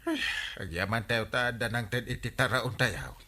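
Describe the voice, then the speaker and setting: An actor playing a comic, stammering village captain with an Ilocano accent in a radio comedy drama. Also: male voice, mid-range voice, moderate pace, pushed and bright, natural voice. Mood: hesitant. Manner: stammering, sputtering with repeated interjections. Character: comic excitable village captain, husband who likes gallivanting.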